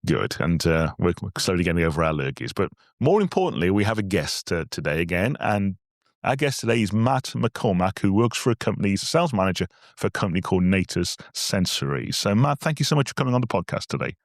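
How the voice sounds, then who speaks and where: A man hosting a business podcast, chatty and a little self-deprecating, his voice slightly croaky from a cold. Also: low-pitched, sing-song, quick pace, no echo, clean studio sound. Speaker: podcast host. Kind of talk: casual podcast chat.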